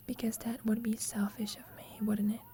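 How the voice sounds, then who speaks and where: A young woman playing a shy, lovestruck student roommate in an intimate ASMR roleplay, confiding hesitantly in a soft, breathy whisper. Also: fairly high pitch, little pitch movement, brisk, a little hiss or hum, close to an everyday voice. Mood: shy, uncertain.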